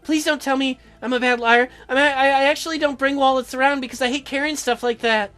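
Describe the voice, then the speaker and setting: A game player voicing a chirpy, nervous teenage girl who is halting and easily flustered. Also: high-pitched, steady tone, a bit bright, faint music behind, pitched above the performer's own voice. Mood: flustered, pleading.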